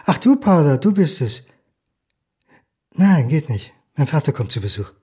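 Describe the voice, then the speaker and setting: An actor playing a lonely, eccentric grown son, fussy and singsong as if talking to himself, in a German radio play. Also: male voice, on the high side, sing-song, a little slow, dark tone, husky, exaggerated voice. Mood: evasive.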